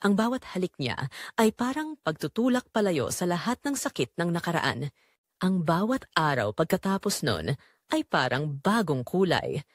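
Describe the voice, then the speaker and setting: Expressive Filipino woman narrator, dry studio sound. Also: fairly low pitch, moderate pace, no room sound. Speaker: audio drama narrator. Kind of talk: scripted first-person dramatic narration.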